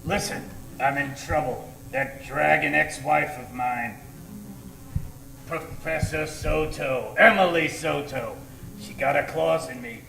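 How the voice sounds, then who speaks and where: An actor playing a blustering, melodramatic space captain in old-time radio comedy style, halting and exclamatory. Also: male voice, fairly high pitch, gravelly, bright, forceful tone, full character voice. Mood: panicked. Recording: roomy echo, music underneath.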